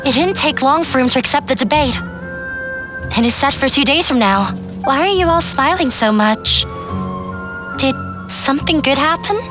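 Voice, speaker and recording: A woman voicing a calm, thoughtful young woman in a video game, speaking softly and with measure. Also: sits high, moderate pace, pauses often, over music. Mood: curious. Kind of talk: game voice acting.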